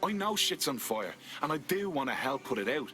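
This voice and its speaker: A man's voice: medium pitch, sing-song, fairly quick, pushed and bright, soft background music. A man acting a reluctant young everyman recruit in a video game, with a British accent and casual, slangy delivery. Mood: hesitant.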